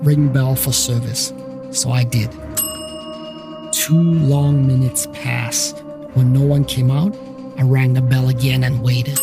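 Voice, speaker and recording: A man narrating a scripted first-person story as the narrator of a fiction podcast. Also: mid-range voice.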